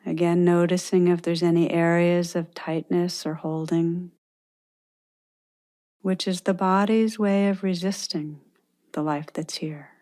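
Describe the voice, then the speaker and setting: A woman leads a guided meditation in a very mellow, relaxed voice that sits a little low. She speaks very slowly and gently, leaving pauses between her instructions as a meditation teacher.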